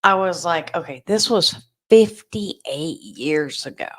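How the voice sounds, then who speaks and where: A woman's voice: sits low, expressive, takes it slowly, husky. A woman co-hosting a show in a casual, conversational style. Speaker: podcast co-host. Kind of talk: casual chat.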